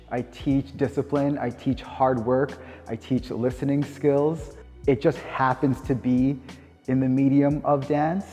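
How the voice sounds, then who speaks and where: A man in his thirties with a Canadian accent, speaking informally and reflectively as if answering interview questions, pausing and rephrasing as he goes. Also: mid-range voice, dark tone. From Winnipeg, Canada. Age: 30s. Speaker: dance teacher. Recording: long reverb, music underneath. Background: music.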